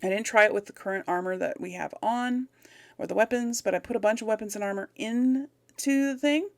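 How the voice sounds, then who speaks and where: A woman's voice: medium pitch, a little fast, gravelly, dry recording, studio quality. A woman hosting a gameplay video in casual commentary, rambling and thinking aloud as she repeats herself.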